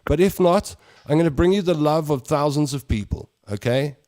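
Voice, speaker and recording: A middle-aged South African man hosting a talk show, reading out viewer comments in a warm, rambling way with lots of restarts. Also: fairly high pitch, moderate pace, no echo.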